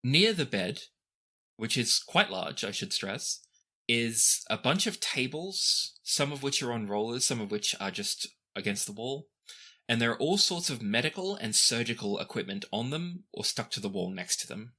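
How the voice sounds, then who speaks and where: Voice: mid-range voice, animated, moderate pace, sharp tone, husky. A game master narrating a tabletop fantasy game in a conversational, descriptive way, with casual asides.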